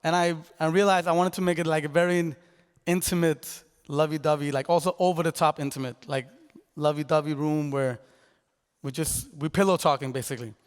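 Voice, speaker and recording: A man's voice: on the high side, steady tone, a little fast, clean tone. Young man talking in a big echoing hall. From Denmark. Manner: casual and rambling, full of fillers and restarts. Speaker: podcast creator presenting his work. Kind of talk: conference talk. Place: large conference hall. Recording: reverberant hall.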